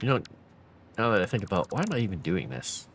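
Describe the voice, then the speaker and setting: A weary man talks through his game on a live stream, rambling and musing aloud to his viewers. His pitch rises and falls in lively swings. A faint hum sits under his voice. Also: fast. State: tired, fading late at night. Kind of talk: casual gameplay live stream commentary.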